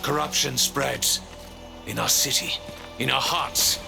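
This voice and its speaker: An actor playing a proud, zealous male angel ruler in a fantasy game, with a gravelly voice and a grandiose, declamatory delivery. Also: medium pitch, fairly even intonation, slow, loud music behind the voice. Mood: fervent, defiant. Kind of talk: dramatic game cutscene acting.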